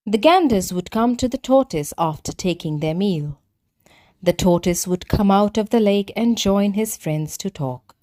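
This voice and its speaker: A woman storyteller reads a children's tale aloud. Her voice sits at a medium pitch, and she delivers each simple phrase deliberately. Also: dark tone.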